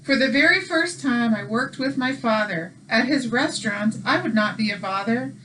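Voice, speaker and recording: A woman co-hosting a children's read-aloud, mixing expressive storytelling with casual chat. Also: fairly high pitch, normal speed, a little hiss or hum, poor audio.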